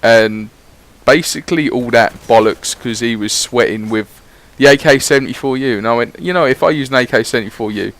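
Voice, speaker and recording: Rambling lad on gameplay commentary. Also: male voice, medium pitch, a little fast, hardly any pauses, fairly bright tone. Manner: rambling, chatty, swears casually. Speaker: gaming YouTuber. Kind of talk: casual voice-over gameplay commentary.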